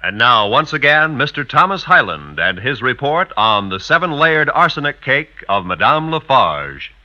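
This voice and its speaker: A man's voice: mid-range voice, very animated, normal speed, sharp tone. A man announcing a 1950s radio show in a formal, emphatic style. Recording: muffled vintage radio recording.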